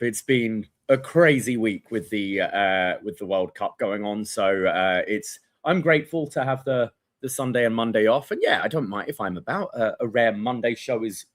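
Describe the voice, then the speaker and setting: A man's voice: medium pitch, fairly bright tone, no echo. A man co-hosting a podcast in casual, slightly hesitant conversational talk. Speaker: podcast co-host. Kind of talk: casual chat.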